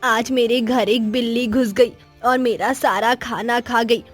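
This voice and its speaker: Woman playing a high-pitched, nagging old village woman. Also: fairly even intonation, a little slow, faint music behind. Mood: demanding. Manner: whining, demanding, dramatic. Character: cranky, nagging, scheming old village woman who complains constantly. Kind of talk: children's cartoon moral story acting.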